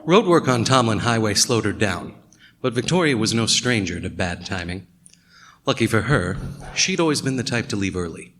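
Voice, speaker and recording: A man's voice: on the low side, a bit bright. An actor playing a world-weary, sardonic male narrator, delivering scripted noir narration in a radio play.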